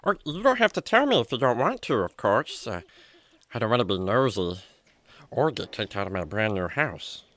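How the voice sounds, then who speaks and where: Man playing a bright, wildly animated, hesitant critter. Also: medium pitch. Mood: concerned. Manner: hesitant, wordy, comically halting. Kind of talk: children's radio drama acting.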